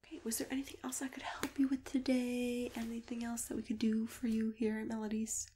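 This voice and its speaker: A woman speaking softly and breathily, often near a whisper, in a gentle, reassuring ASMR roleplay as a friendly matchmaker. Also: on the high side, completely flat delivery, breathy edge. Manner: gentle, reassuring, unhurried customer-service patter.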